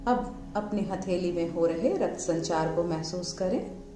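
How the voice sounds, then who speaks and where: A woman with an Indian accent guides a meditation, giving measured instructions in short phrases with pauses between them. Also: lowish voice. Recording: roomy echo, music underneath. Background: music.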